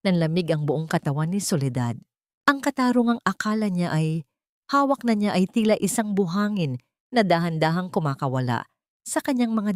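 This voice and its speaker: A woman's voice: lowish voice, darkish tone, no echo, studio quality. A woman narrating a scripted dramatic story in a solemn, emotive manner.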